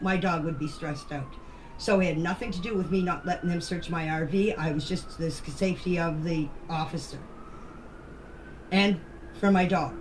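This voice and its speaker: Blunt woman in noisy voice messages. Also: lowish voice. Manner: defensive, blunt, emphatic. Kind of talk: informal recounting in voice messages. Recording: faintly noisy voice-message recording.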